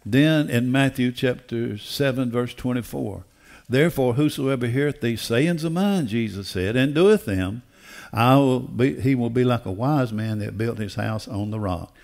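An older man preaching a sermon in an emphatic, deliberate way, repeating phrases for weight. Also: mid-range voice, gravelly, darkish tone. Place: church auditorium stage. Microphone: headset.

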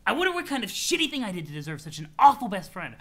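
Man voicing an irritable, loud, high-pitched young troll. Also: big pitch swings, racing, exaggerated voice. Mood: exasperated, scornful. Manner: exasperated, ranting, insulting. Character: irritable, loudmouthed young male troll, sweary and scornful.

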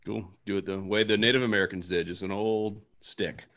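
Casting: young man, slightly low voice, playful panel host on a video call. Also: fairly even intonation, a little fast, a bit bright. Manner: joking, playful. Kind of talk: casual panel chat.

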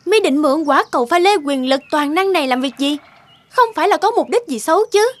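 A woman voicing a haughty, spiteful young sea goddess in an animated fairy tale, with a scornful, mocking manner. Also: sits high, brisk. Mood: suspicious.

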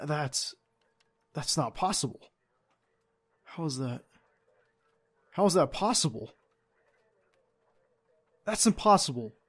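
A young man playing a devoted secret agent boyfriend in an audio roleplay, with a husky, gravelly voice and an earnest manner. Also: medium pitch, a little mellow. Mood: urgent, determined. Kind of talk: ASMR boyfriend roleplay acting.

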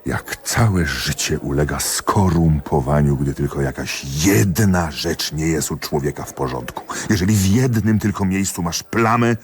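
An actor in a radio drama playing a jealous husband and magistrate, confiding ruefully and emphatically to a friend. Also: male voice, a bass voice, a little slow, few pauses. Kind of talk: theatrical radio drama monologue.

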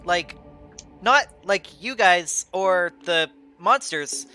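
A man in his thirties narrating a tabletop game as game master, improvising with halting pauses. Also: sits high, lively intonation, bright, forceful tone. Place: small room at home, on a video call. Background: background music.

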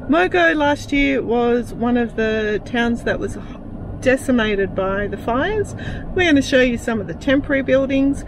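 A woman, narrating a casual travel vlog in a relaxed, chatty way while touring outdoors. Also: high-pitched, background noise. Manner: chatty, relaxed tour guiding. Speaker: travel vlogger. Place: outdoors touring a town and wildlife park.

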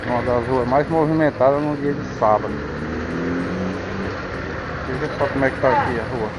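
Male vlogger, casual and chatty, speaking over a loud motorbike-cam recording. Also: mid-range voice, normal speed. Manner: chatty, addresses viewers as family. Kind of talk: casual running commentary. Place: riding a motorbike on a busy town street outdoors. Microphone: camera mounted on a motorbike. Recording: loud traffic and motorbike noise. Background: loud traffic and engine noise.

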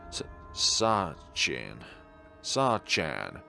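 A man voicing an ominous shadowy ghost in a horror game, halting and repetitive as it longs for someone lost. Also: extremely low pitch, wildly swooping pitch, creaky, sharp tone, soft background music, exaggerated voice. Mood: longing, eerie. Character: ominous shadowy ghost obsessively calling a lost loved one.

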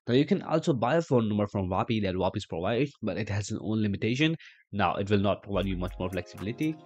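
A young man hosting a casual software tutorial, repeating words and checking in with "right?" as he talks from a small room. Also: lowish voice. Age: young adult. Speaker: tutorial host. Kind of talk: screen-share software tutorial.

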